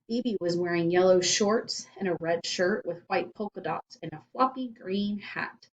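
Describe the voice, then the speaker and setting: A woman storyteller reading a children's book aloud in animated scripted narration. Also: fairly low pitch, normal speed, lo-fi sound.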